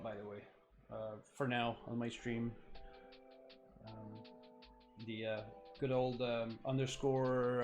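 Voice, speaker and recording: A man whose first language is French, chatting casually and at length on his live stream while he builds, with many fillers and restarts. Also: medium pitch.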